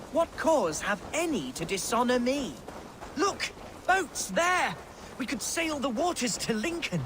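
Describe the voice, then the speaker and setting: A man's voice: extremely high pitch, noisy background, heavily acted. A man playing a melodramatic, naive young nobleman in a video game, florid and theatrical in his delivery. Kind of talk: video game cutscene acting.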